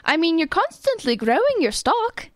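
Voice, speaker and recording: A woman voicing a small, quick-witted leprechaun council member who quips matter-of-factly in a comic radio play. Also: very high voice, big pitch swings, no echo. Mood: eager, pert.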